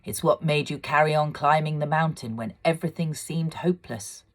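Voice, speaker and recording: A woman voicing a gentle, loving old father in a storybook, speaking in a tender, measured way. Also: low-pitched, lightly acted. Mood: earnest.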